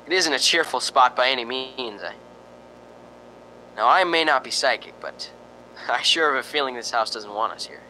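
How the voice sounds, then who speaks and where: A man's voice: medium pitch, animated, moderate pace, pauses often, bright, forceful tone, a little hiss or hum, rough recording. A man acting in a radio drama as a nervous radio host in a haunted house, stammering and hesitant. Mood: uneasy.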